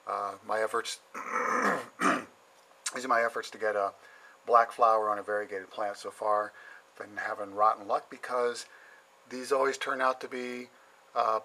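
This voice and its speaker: A middle-aged man giving a casual, halting tour of his basement plant shelves, with many ums and frequent throat clearing, recorded on a handheld camera. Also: lowish voice, fairly even intonation, bright, forceful tone, low-quality recording. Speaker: home plant grower. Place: indoor basement grow shelves.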